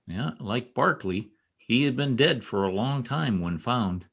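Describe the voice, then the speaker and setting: Man acting a brusque state trooper, swinging pitch. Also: lively intonation, unhurried, creaky, a bit bright, full character voice. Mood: brusque, guarded. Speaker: amateur radio play actor. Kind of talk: old-time radio drama acting. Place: home, over a video call.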